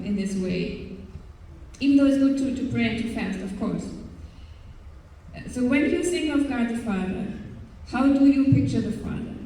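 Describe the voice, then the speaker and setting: Woman with a german accent, slightly high voice, pausing a lot with ums, sounds far off in a big echoey hall. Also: dark tone, a little hiss or hum. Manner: earnest, hesitant, with frequent pauses and fillers. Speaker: religious sister giving a testimony. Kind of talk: personal faith testimony to young people. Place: large hall at a youth event.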